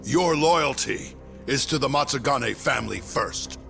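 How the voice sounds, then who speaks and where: A man playing a menacing, foul-mouthed middle-aged yakuza captain in a crime video game, with a gravelly, sneering delivery. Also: medium pitch, animated, a little slow, a bit bright. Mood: stern, threatening. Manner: sneering, threatening. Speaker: voice actor in a video game dub. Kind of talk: cinematic crime game acting. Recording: dramatic music behind the voice.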